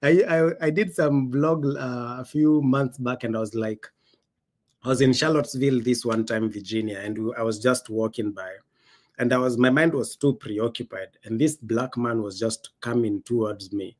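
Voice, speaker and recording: A man's voice: medium pitch, steady tone, moderate pace. A man from Kenya with a Kenyan accent, hosting a podcast in a casual, emphatic way and acting out quoted dialogue. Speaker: podcast host. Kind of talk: casual conversational storytelling.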